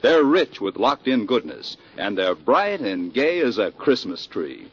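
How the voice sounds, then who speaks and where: A man's voice: mid-range voice, big pitch swings, a little slow, breathy edge. A man with an American accent announcing on 1940s radio in a polished, enthusiastic, scripted sales style. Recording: muffled 1940s radio broadcast.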